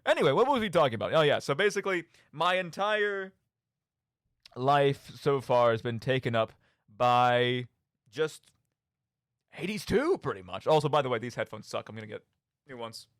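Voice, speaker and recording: A man's voice: medium pitch, very animated, normal speed, a bit bright, no room sound, clean studio sound. A young man hosting a casual, rambling radio show in a playful, mock-dramatic, tongue-in-cheek manner. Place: radio studio. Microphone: studio microphone.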